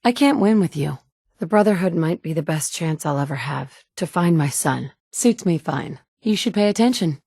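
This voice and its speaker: A woman's voice: low-pitched, dark tone, no echo. An actress in her thirties voicing a game's player heroine, a determined mother searching for her son, with dry wit and a blunt, curt edge. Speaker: player character. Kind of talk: game dialogue lines. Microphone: close studio mic.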